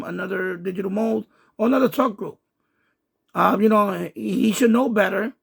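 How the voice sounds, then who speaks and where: A man's voice: high voice, vocal fry, breathy edge. A man hosting a casual, unscripted video commentary, hesitant and somewhat exasperated. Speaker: video host, amateur radio hobbyist.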